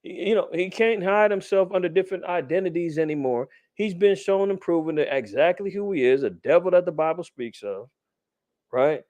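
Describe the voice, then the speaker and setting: Slangy, animated male Bible teacher. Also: high-pitched, brisk, no echo. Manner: conversational, streetwise slang, animated asides. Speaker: Bible teacher, podcast host. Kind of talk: informal scripture teaching.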